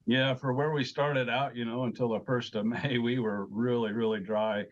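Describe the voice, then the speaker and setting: A man giving measured, hesitant explanations as an agricultural expert in an informal interview. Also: mid-range voice, fairly even intonation, lo-fi sound.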